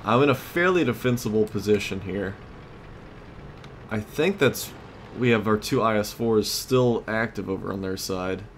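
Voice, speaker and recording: A man's voice: lowish voice, moderate pace. A man giving casual let's play commentary on a video game, thinking aloud in short tactical remarks. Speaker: gamer, host of a let's play series. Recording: clear background game noise. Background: vehicle and battle sounds of a tank game.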